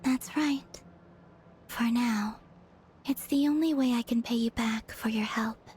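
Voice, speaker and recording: A soft, breathy, gentle maiden. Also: female voice, fairly high pitch, slight background noise. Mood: calm, earnest. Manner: calm, deliberate. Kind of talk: dramatic video game voice acting.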